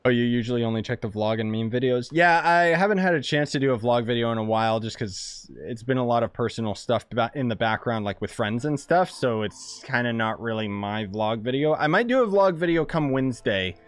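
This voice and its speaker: A man's voice: mid-range voice, expressive, fairly quick, hardly any pauses, smooth, no room sound. A man chatting casually and informally to viewers as he streams a game, explaining things as he goes. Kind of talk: casual live-stream chat and game commentary. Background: game music.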